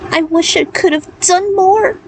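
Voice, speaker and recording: A woman's voice: very high voice, a little slow, voice pushed up. A woman voicing an emotional, earnest young female warrior in a story, full of tearful gratitude. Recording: clearly noisy background.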